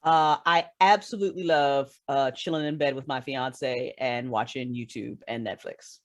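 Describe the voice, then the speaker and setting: A woman chatting casually as a podcast guest, informal and effusive, quick to laugh. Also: very deep voice, steady tone. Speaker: podcast guest, writer and audio fiction creator. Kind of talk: casual chat.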